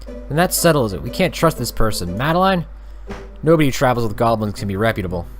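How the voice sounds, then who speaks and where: A man's voice: medium pitch, sing-song, fast talker, soft background music, lightly acted. A man voicing a disagreeable, suspicious young nun with a haughty, scolding way of talking, in a visual novel read-aloud. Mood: scornful.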